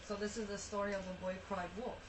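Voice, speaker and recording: A woman speaks in a medium-pitched voice. Her delivery is casual and conversational, in short interjections. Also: level tone, quick pace, poor audio. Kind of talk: casual chat, brief interjections.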